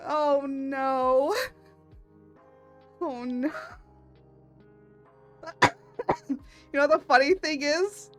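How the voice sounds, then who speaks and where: Hoarse, giggly high-voiced woman. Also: fairly even intonation, extremely slow, long pauses, a bit bright, faint music behind. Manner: giggly, breaks into fits of laughter. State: hoarse from laughing. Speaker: VTuber artist streamer. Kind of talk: casual live stream chat.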